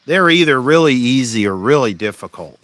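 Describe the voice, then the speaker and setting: A man hosting an electronics repair livestream, chatting casually and answering comments in a halting, unscripted way that often trails off. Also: medium pitch, expressive, fairly bright tone, no room sound.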